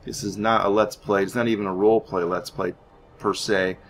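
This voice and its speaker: A man's voice: fairly low pitch, little pitch movement, a little fast, a little hiss or hum. A man hosting a gaming series, talking informally as he explains his roleplay playthrough and tells its story. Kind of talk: roleplay let's play commentary with story narration.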